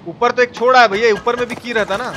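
Male game streamer, sharp high voice, casual and sweary, addressing chat. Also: fairly even intonation, fast talker. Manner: informal, foul-mouthed, talking to viewers. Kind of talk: live gameplay commentary with chat shout-outs. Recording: clear background noise and game ambience. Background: video game ambience.

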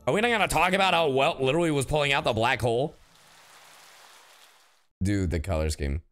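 A young man reacting casually to a game story on a livestream, excitable, blunt and slangy. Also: mid-range voice, brisk. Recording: game music behind the voice.

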